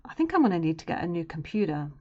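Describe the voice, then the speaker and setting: A woman with a naturally low voice, chatting casually and rambling to her live-stream viewers while she draws. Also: big pitch swings, racing. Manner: chatty and rambling, drifting into murmurs and humming while she works. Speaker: streamer and artist. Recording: dull lo-fi stream audio.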